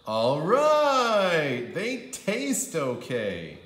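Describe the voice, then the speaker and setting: A man voicing a picky little boy in a storybook, exclaiming and drawing out his words deliberately. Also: a little high, huge pitch swings, fairly bright tone, reverberant, voice pushed up, exaggerated voice. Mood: grudging approval.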